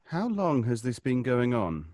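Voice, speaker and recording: An actor playing a psychologist who speaks in a measured, advising way in a live audio drama. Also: male voice, mid-range voice, huge pitch swings, darkish tone. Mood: grave. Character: calm, grave male psychologist. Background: faint live music.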